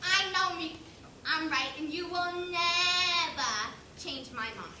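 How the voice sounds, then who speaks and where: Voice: very high-pitched, sharp tone, heavily acted. A young performer on stage playing a stubborn, blustering pig juror in a theatrical, exasperated way, sentimental underneath. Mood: indignant, insistent. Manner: theatrical, exasperated, slow and emphatic delivery. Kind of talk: amateur stage play acting. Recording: distant echoey stage recording, clear background noise.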